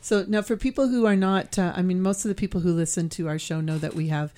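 A woman hosting a radio show, asking a guest questions in a halting way with many restarts. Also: on the low side, fairly even intonation, mellow. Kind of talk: interviewing a guest.

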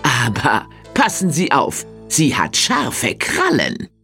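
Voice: mid-range voice, huge pitch swings, takes it slowly, sharp tone, faint music behind, heavily acted. A man voicing a cheeky young trickster in a teasing, theatrical storybook manner. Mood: mysterious, warning.

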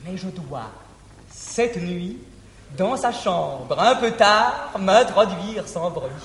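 A man's voice: high-pitched, animated, takes it slowly, fairly bright tone, heavily acted. An actor playing an eager young male lover, telling his adventure in verse with breathless laughter, in an old theatre recording. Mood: giddy. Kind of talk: declaimed verse stage acting. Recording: echoey 1959 theatre recording.

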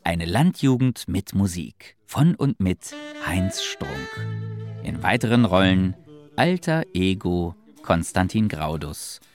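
Male credits announcer, low voice, highly animated melody, guitar music clearly behind him. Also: takes it slowly. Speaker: announcer of cast and production credits. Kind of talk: scripted radio credits announcement.

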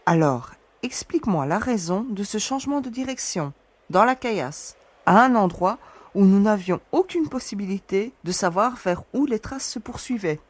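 A woman plays a sharp young space explorer, cool and sure of herself, with a slight teasing edge. Her voice is mid-pitched and sits close and dry, with no room around it. She is the clever one of an audio drama crew. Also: normal speed, slightly rough, dry recording. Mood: assured. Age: young adult. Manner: calm, assured and mildly teasing.

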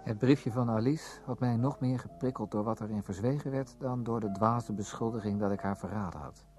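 An actor as a low, mellow, brooding man. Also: brisk, soft background music, lightly acted. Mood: brooding, reflective. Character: brooding, probing male former student recalling his past. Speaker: narrator and lead character in a radio play. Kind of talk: scripted radio drama, literary first-person narration.

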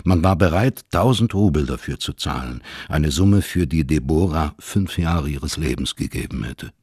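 A man with a husky voice reading scripted literary narration in a measured storytelling manner. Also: very deep voice, animated, darkish tone, no echo, clean studio sound.

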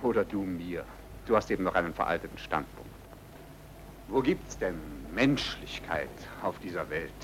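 An actor playing a suave, smugly amoral racketeer in a vintage radio play, with a nonchalant, theatrical delivery. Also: male voice, deep voice, animated, moderate pace, slight background noise. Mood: breezy, philosophizing. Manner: theatrical, nonchalant, swinging from muttering to declaiming.

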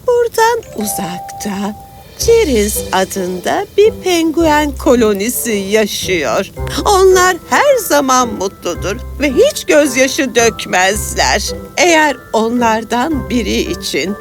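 A woman playing a wise old aunt who explains a magical quest in an expressive fairy-tale cartoon. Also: fairly high pitch, takes it slowly, faint music behind. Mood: solemn. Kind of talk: animated fairy tale acting.

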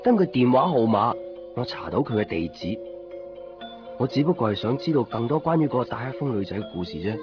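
A man's voice: mid-range voice, sing-song, a little fast, muffled recording, close to an everyday voice. An actor playing a curious, obsessive young man telling his own story in a radio drama. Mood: reflective, quiet. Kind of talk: Cantonese radio drama, introspective narration and dialogue. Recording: 1990s radio drama, band-limited, music behind.

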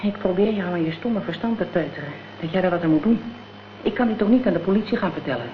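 A woman's voice: on the low side, lively intonation, fast, close to an everyday voice. An actress playing a gangster's tough, vengeful girlfriend in a radio drama, talking in blunt, scornful slang. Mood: bitter, urgent. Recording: dull, noisy old radio play recording.

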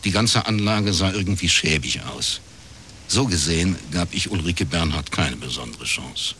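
A man's voice: deep voice, lively intonation, normal speed, background noise. An actor in his forties playing a wry, world-weary East German private detective in a radio crime drama, talking in a laconic, ironic way. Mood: dry, matter-of-fact. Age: 40s. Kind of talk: scripted radio crime drama narration and dialogue.

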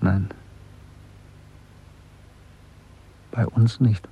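An actor playing a bitter, brooding dissenter in a radio drama, speaking with introspective intensity. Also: male voice, on the low side, level tone, unhurried, breathy edge, no echo, heavily acted. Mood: troubled. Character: disillusioned male dissenter, brooding, bitter, restless. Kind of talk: German radio drama acting. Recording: dull radio recording, faint music.

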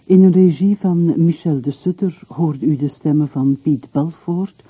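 A man's voice: fairly high pitch, unhurried, breathy edge, no room sound, phone-quality sound. A man announcing the credits of a radio play in a scripted style. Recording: thin, band-limited old radio recording.

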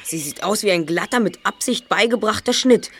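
A man's voice: high-pitched, normal speed, a bit bright. A young male actor in a German audio drama playing an observant, serious boy detective who speaks precisely. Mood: analytical. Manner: precise, articulate.

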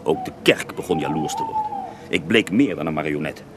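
Radio play casting: self-justifying holy man, pious and aggrieved, low and animated, over clear background noise. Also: brisk. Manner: devout, wounded, self-justifying. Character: pious, self-righteous Russian mystic healer, a grown man. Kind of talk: radio drama acting, mock interview.

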